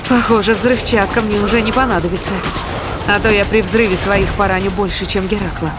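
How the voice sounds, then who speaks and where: A woman's voice: medium pitch, fairly even intonation, fairly quick, loud music behind the voice, lightly acted. A woman playing a sardonic, defiant fighter in an audio drama. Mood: amazed.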